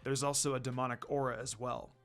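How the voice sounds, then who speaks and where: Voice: medium pitch, level tone, brisk, a bit bright, faint music behind, voice pushed down, close to an everyday voice. A narrator voicing a stoic, reserved male demon dog lord in terse, grave phrases. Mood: wary, grave.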